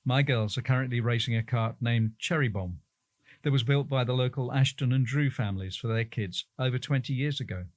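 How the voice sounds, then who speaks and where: A man's voice: medium pitch, a little fast, dry recording. Man reading interview answers, dry sound.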